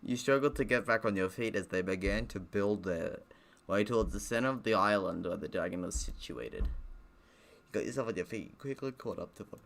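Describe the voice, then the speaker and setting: Man, low gravelly voice, game streamer, casual read-aloud narration, self-deprecating asides. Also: animated, moderate pace.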